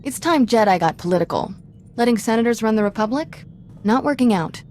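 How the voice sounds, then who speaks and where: A woman's voice: a little high, animated, brisk, darkish tone, slight background noise, close to an everyday voice. A woman playing a brash, confident young Jedi companion in a video game, blunt, informal and self-assured. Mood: brash conviction. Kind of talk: video game character acting.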